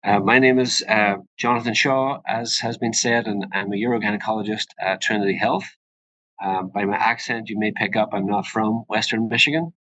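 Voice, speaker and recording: Bright-voiced Northern Irish man, fairly low pitch. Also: steady tone, normal speed, dry recording, low-quality recording. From Northern Ireland. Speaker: presenter, urogynecologist. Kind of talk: informal webinar presentation.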